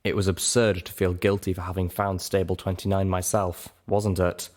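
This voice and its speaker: A man reading a scripted first-person story aloud as narrator, with expressive, dramatic delivery. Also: sits low, normal speed. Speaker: narrator of a fan fiction reading show.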